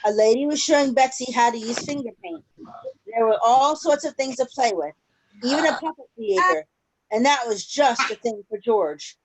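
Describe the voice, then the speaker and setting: A woman with a fairly high voice reads a children's storybook aloud. Her delivery is expressive, shaped for young listeners. The sound is a video call recording with faint noise. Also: normal speed, low-quality recording. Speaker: children's story-time reader. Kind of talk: reading a picture book aloud to children.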